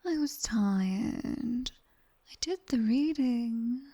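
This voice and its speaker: A British woman playing a sharp-tongued demoness tutor in a slow, teasing, breathy ASMR whisper, close on the microphone. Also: a little high, animated, heavily acted. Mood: dryly teasing. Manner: slow, deliberate, teasing, dryly stern. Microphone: close binaural microphone.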